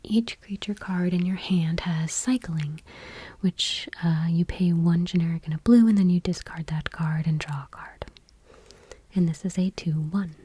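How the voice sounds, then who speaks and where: A woman speaking in a soft, breathy whisper, reading aloud gently and unhurriedly in an ASMR style. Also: fairly low pitch, dry recording. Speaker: host of an ASMR channel.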